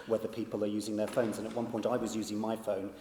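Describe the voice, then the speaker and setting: Man panelist, reflective, stumbles into sentences, deep and mellow, roomy hall sound. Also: flat delivery, fast talker, a little hiss or hum. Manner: reflective, repeating words as he starts. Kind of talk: panel discussion answer. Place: large conference hall. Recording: echoey hall.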